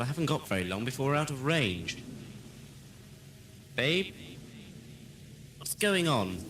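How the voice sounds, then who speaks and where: A man's voice: medium pitch, a little hiss or hum. A man playing a cocky, impatient prison ship guard in an audio drama, with a brusque, informal manner. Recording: loud music behind, 1980s cassette.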